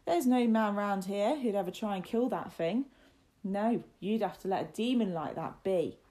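A woman's voice: medium pitch, moderate pace, warm tone, lightly acted. A woman voicing a sly working boy in a storybook, teasing with mock deference and ominous relish. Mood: feigned seriousness. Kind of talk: storybook character voice.

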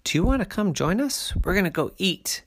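A man voicing a sweet, polite little girl bear cub in a bedtime story, with a high, excitable voice. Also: normal speed, exaggerated voice. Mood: excited.

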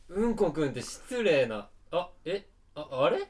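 A man's voice: fairly high pitch, very animated, takes it slowly, darkish tone. A man playing a sensible, polite ordinary man who is easily startled, in a radio drama. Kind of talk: Japanese radio drama acting.

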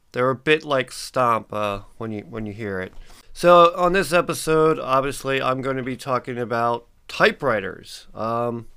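A man's voice: medium pitch, creaky, pushed and bright, smooth. Stuffy-nosed man hosting a podcast. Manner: rambling, many ums and uhs. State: congested, stuffy. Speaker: podcast host. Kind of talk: casual solo podcast monologue.

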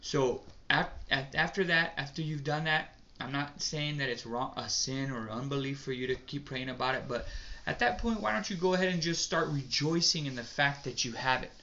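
A man's voice: medium pitch, normal speed, hardly any pauses, pushed and bright. A man pastor speaking earnestly with preacherly emphasis in a talk show. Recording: dull lo-fi sound with faint noise.

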